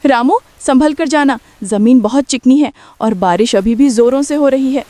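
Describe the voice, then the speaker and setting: A woman acts as an anxious, protective village mother from a cartoon moral tale. Her voice sits high, and she speaks earnestly, caring for her family. The sound is dry and close. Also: moderate pace, warm tone, no room sound, close to an everyday voice. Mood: worried, urgent. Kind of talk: Hindi cartoon story dialogue.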